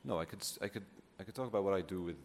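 A man with a low-pitched voice and a Welsh accent speaks to a room in an unscripted talk. His delivery is halting and thoughtful, as a native Welsh speaker choosing his words. The hall rings with long reverb, and there is faint noise behind him. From Wales. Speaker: poet and children's laureate giving a talk. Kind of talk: informal unscripted public talk. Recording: echoey hall, clear background noise.

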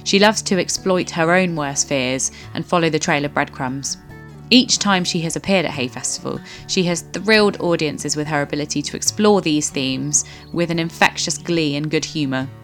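A woman hosting a podcast, giving a smooth, polished scripted introduction. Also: low voice. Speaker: podcast host. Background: soft guitar music.

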